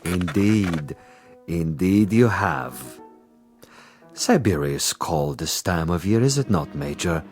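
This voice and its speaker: A suave commissar who kills as an art. His low voice swoops expressively through every line, mock-courteous and quietly menacing. He savors his words theatrically, with music underneath the drama. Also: animated, takes it slowly. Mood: silky, probing. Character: suave, cultured, menacing male commissar, an assassin. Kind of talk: dramatic TV-style dialogue acting.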